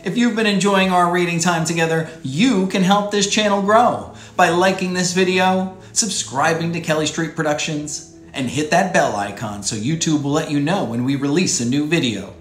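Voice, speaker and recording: An American man in his thirties hosting a children's read-aloud show, speaking to young viewers in clear, simple phrases as he presents and reads from a script. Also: fairly high pitch, normal speed, hardly any pauses, fairly bright tone, echoey room, faint music behind. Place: small furnished room indoors.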